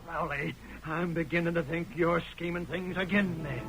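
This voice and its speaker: An actor playing a crooked, threatening Western banker in old radio style, with an American accent and curt, folksy speech. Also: male voice, fairly high pitch, steady tone, normal speed, over loud music, close to an everyday voice. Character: menacing crooked Western banker, scheming town boss. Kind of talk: 1930s-40s radio Western acting. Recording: noisy vintage radio drama recording.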